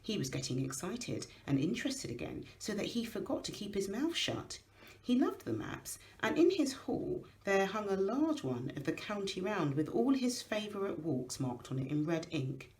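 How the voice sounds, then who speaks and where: A woman reading a storybook aloud in an expressive narrating style. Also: medium pitch, normal speed. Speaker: school staff member reading aloud.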